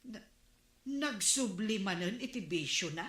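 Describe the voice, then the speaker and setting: A woman playing a sharp-tongued captain's wife. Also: medium pitch, animated, unhurried, reverberant. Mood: reproachful. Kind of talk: Ilocano radio comedy drama acting.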